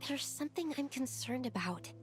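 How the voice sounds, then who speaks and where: A woman's voice: sits high, expressive, moderate pace. A woman voicing a polite, concerned young researcher in an anime game, careful, formal and analytical in manner. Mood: thoughtful. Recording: game audio with faint music.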